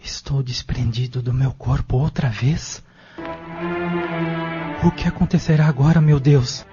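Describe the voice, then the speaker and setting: A man's voice: medium pitch, unhurried, natural voice. Man acting an awed young man, muffled sound. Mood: anxious wonder. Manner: earnest, awed. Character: devout, wondering young man having out-of-body experiences. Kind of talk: audio drama acting. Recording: muffled lo-fi, loud music behind.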